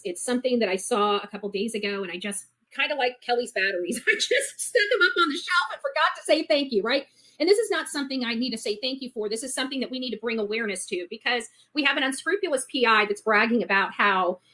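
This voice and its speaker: A woman's voice: fairly high pitch, a bit bright, rough recording. A woman giving casual, opinionated online commentary in a blunt, indignant way, repeating words for emphasis. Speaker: online commentator on a missing-person case.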